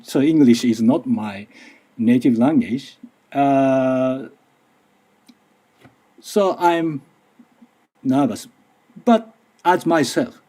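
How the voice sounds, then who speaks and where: A man's voice: medium pitch, very slow, pauses often, warm tone, slightly rough. A middle-aged man from Japan with a Japanese accent, speaking English as a second language and giving a careful, slightly nervous conference talk with frequent restarts. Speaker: university lecturer. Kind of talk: conference presentation.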